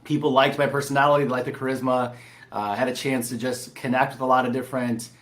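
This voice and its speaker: A man's voice: mid-range voice, little pitch movement, quick pace, fairly bright tone. Casual man. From San Diego, California. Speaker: podcast guest, real estate entrepreneur. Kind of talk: casual interview storytelling.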